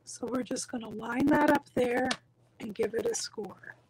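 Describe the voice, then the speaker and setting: A hoarse woman with a croaky voice, walking through a craft tutorial step by step in a reassuring, casual way. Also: on the high side, unhurried, darkish tone, a little hiss or hum. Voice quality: croaky, husky. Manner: reassuring, instructional. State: hoarse, losing her voice. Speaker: craft tutorial host, demonstrator.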